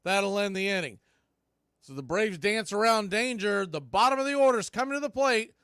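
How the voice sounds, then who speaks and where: High-pitched man calling baseball play-by-play. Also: moderate pace, fairly bright tone, clean tone, no room sound, clean studio sound. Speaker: play-by-play baseball announcer and stream host. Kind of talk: live play-by-play commentary.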